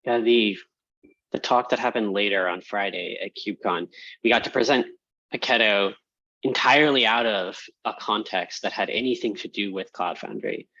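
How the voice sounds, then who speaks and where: Low-voiced man on a dull, dry call. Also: moderate pace, bright, forceful tone, dry recording. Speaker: project team representative. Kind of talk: casual work meeting talk. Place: online video call. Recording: dull, muffled call audio.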